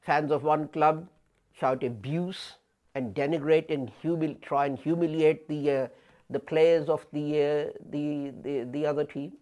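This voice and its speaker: A man in his fifties giving a sermon as an imam, speaking deliberately with pauses and rising emphasis, recorded in a small studio. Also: fairly high pitch, fairly even intonation, dark tone. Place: small studio before a printed backdrop. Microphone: fixed camera, head and shoulders framing.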